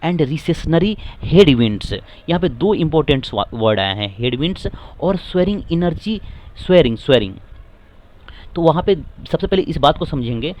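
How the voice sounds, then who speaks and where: A man with an Indian accent walks students through an editorial, stretching each English phrase slowly before explaining it. He spells tricky words out letter by letter in a patient classroom way. His voice sits a little higher than most men's. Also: animated, darkish tone. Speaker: English teacher.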